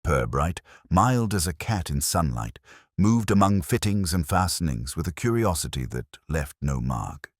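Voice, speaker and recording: A very deep male voice reads a mystery story. The intonation moves expressively while the delivery stays measured and dryly understated. The sound is close and dry. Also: big pitch swings, husky, no echo. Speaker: audiobook narrator. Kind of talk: scripted storytelling narration of a mystery.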